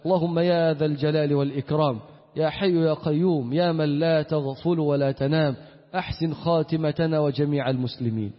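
A man's voice: a little high, fairly even intonation, brisk. A man with an Egyptian Arabic accent preaching an Islamic sermon in an emphatic manner, repeating key phrases. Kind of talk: Friday sermon with recitation and supplication. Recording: echoey hall with long reverb, dull sound.

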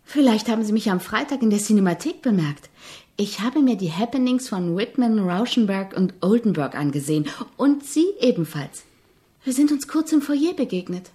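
An actress playing an earnest, persistent young composition student in a German radio drama, questioning politely but insistently. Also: female voice, mid-range voice, lively intonation, normal speed, warm tone, lightly acted. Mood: polite, curious.

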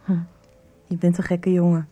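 An actress playing a coy, frank nineteen-year-old in a radio soap, with a hesitant, guarded way of talking. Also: female voice, on the low side, level tone, normal speed, mellow, highs cut off, close to an everyday voice. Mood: coy, teasing. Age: young adult. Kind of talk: 1990s Dutch radio soap dialogue.

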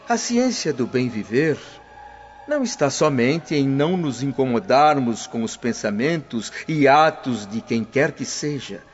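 An actor playing a humble, gentle freed preacher in a radio drama, speaking in unhurried, earnest sermons. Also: male voice, medium pitch, husky. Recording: dull lo-fi audio with faint music.

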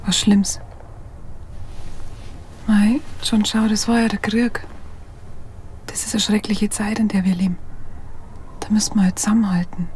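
An actress playing a tender, coaxing sweetheart with a southern German accent, whispering breathily in a radio drama. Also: female voice, mid-range voice, level tone, measured pace, long pauses, darkish tone, a little hiss or hum, natural voice. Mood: soothing, concerned. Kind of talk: intimate bedside radio drama dialogue.

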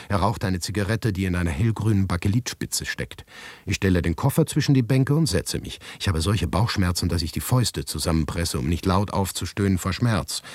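An anguished, paranoid man of letters in a radio play. His voice is low and husky, recorded very dry. He tells his story in a deliberate, inward way, sometimes dropping into a whisper. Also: no echo, exaggerated voice. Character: anguished, paranoid male writer telling his grotesque misadventure. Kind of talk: literary radio monologue, first-person narration.

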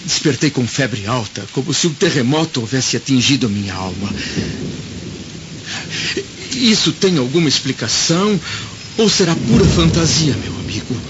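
A man's voice: medium pitch, big pitch swings, moderate pace, lightly acted. Troubled Roman senator played by a man, middle register, grave and anguished radio-drama style, dull recording. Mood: anguished, questioning. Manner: solemn, anguished, theatrical recounting. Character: troubled Roman senator recounting a haunting vision of past life. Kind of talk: dramatic radio play acting. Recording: dull lo-fi audio with loud storm noise.

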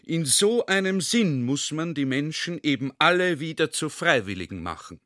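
A man's voice: a little high, sing-song, moderate pace, a bit bright, no room sound, studio quality. An actor playing an officer who expounds pompously on love of fatherland in a literary radio drama. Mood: earnest, expounding. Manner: rhetorical, expounding.

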